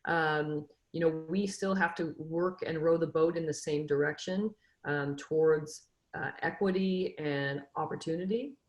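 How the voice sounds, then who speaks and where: A woman's voice: low-pitched, unhurried, echoey room. A woman in her fifties from Northern California, a football coach speaking on a video call panel in an earnest, motivational way, often restarting her sentences. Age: 50s. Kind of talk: casual panel discussion.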